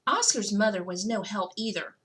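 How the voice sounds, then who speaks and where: A woman's voice: mid-range voice, moderate pace, a bit bright, a little hiss or hum. A woman reading a children's picture book aloud expressively, stretching out key words for emphasis. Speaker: school technology coordinator reading to children.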